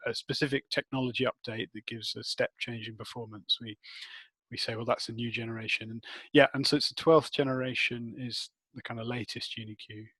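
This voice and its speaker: Man, mid pitch, technical interviewee, thinking aloud, rephrasing often, dry recording. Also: fairly even intonation, fairly quick, bright, forceful tone, husky, no room sound, rough recording. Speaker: interviewed expert, head of research and development.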